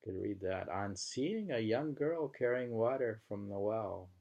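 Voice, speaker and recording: A man's voice: lowish voice, lively intonation, a little slow, highs cut off. A man reading poems aloud and chatting casually about them, with a reflective, savoring delivery. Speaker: poetry reader. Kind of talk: poetry reading with casual commentary.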